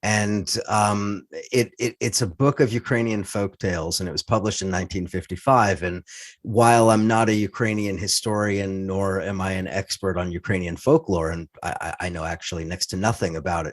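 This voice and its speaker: A middle-aged man with a low, deep voice answers an interview question at length, pausing on fillers and restarting his sentences. He sounds close to the mic, in a dry room with no echo. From United States. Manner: thoughtful, many fillers and restarts. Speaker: interview guest, writer and podcaster. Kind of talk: casual interview talk.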